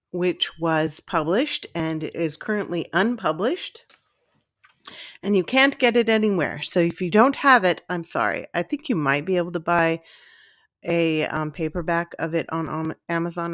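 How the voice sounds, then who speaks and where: A woman with a gravelly, fry-edged voice chats off the cuff as an author hosting her own podcast. She rambles and puts herself down in an informal way. The sound is close and dry. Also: lowish voice, no echo. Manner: self-deprecating, informal, digressive. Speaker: author and podcast host reading own story. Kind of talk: casual rambling chat, then read-aloud storytelling. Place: at home in a lived-in room.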